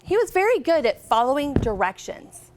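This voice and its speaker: A high-voiced American woman reads a picture book aloud to children. Her intonation is very lively, and she often turns to her young listeners with questions. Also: a little hiss or hum. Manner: expressive, pausing to ask listeners questions. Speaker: guest reader, company representative.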